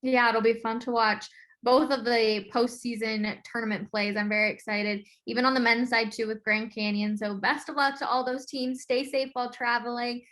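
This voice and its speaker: A woman's voice: on the high side, fairly even intonation, normal speed, a bit bright. A woman with an American accent discussing games casually as co-host of a sports podcast.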